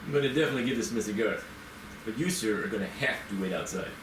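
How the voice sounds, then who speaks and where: A man's voice: on the low side, racing, lightly acted. A man playing a guarded, flustered groundsman in his fifties in an amateur screenplay table read. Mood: uneasy, firm. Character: nervous, evasive 55-year-old male manor groundsman. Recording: echoey, distant mic, clear noise.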